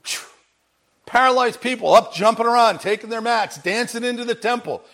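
A male pastor with an unusually high-pitched, big voice delivers a sermon. He tells the story with energy and acts out the lines people say. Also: normal speed. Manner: animated storytelling, acting out bits of dialogue.

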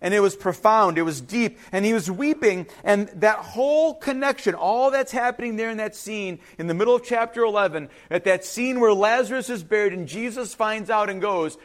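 A man's voice: sits high, animated, normal speed, few pauses. A man who grew up speaking Ukrainian preaches a sermon, reading and explaining scripture in an informal, conversational way with asides to his listeners.

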